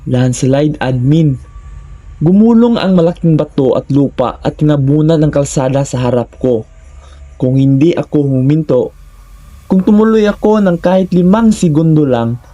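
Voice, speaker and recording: Mellow Filipino man narrating horror. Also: a little high, lo-fi sound. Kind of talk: first-person scripted horror narration.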